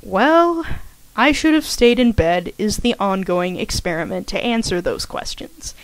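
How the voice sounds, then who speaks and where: A woman's voice: mid-range voice, animated, measured pace, no echo, low-quality recording. A woman giving an informal scripted introduction to an audio story, chatty and full of self-aware little asides. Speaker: fan fiction author and podfic narrator.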